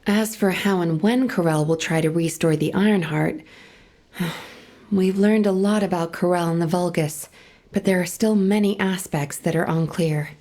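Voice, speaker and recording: An actress playing a serious, composed operations officer in a game cutscene, formal and earnest. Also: female voice, lowish voice, normal speed, warm tone, close to an everyday voice.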